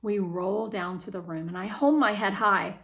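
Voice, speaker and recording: A woman narrating a children's novel aloud in the first person, with expressive storytelling delivery. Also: mid-range voice, normal speed, vocal fry. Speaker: children's book read-aloud reader.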